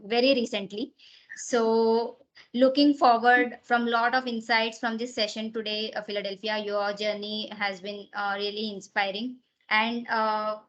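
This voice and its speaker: Woman host, high flat voice, hesitant, dull lo-fi video call sound. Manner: hesitant, many fillers. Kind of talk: introducing a guest.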